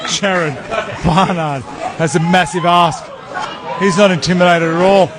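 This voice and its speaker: A man's voice: sits high, fairly even intonation, unhurried, very noisy. A man giving informal fight commentary as an event commentator, speaking on a live mic. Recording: live mic amid very loud crowd. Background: loud crowd.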